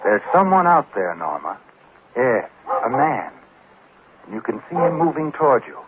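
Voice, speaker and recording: An actor playing an ominous, knowing narrator of 1940s radio suspense, insinuating and pausing for drama. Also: male voice, medium pitch, very animated, close to an everyday voice. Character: ominous, knowing male narrator addressing the guilty. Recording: noisy old radio, narrow band.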